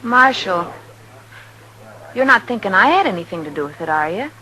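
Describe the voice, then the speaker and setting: A woman's voice: medium pitch, sing-song, normal speed, pushed and bright, rough recording. An American actress playing a proud young frontier woman in a 1950s radio drama, direct and self-possessed. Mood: cool, defensive. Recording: vintage radio recording, crowd sounds.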